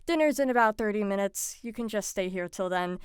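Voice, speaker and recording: A woman's voice: medium pitch, expressive, a little fast, clear, resonant tone, dry recording, studio quality, close to an everyday voice. A woman playing a friendly, slightly awkward patient supervisor in an audio drama, talking in an eager, reassuring way.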